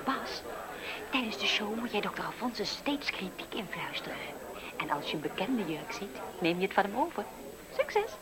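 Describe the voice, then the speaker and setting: An actress playing a bold, scheming young housemaid in a 1970s radio play, teasing and challenging. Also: female voice, medium pitch, very animated, normal speed, a crowd in the background, lightly acted. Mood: conspiratorial.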